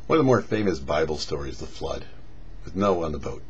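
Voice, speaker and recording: A man's voice: very deep voice, sing-song, brisk, darkish tone. A man presenting religious commentary as a rabbi, teaching didactically in short, emphatic phrases. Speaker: rabbi presenting a weekly Torah portion show. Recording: dull lo-fi audio with faint noise.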